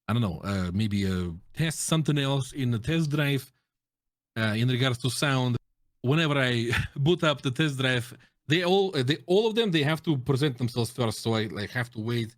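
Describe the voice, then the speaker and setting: A man's voice: mid-range voice, lively intonation, normal speed, no echo, clean studio sound. A man with a Russian accent, a native Russian speaker, chatting casually and jokingly as a guest streamer giving his opinions. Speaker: guest, game streamer and content creator. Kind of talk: casual chat, giving opinions on game audio.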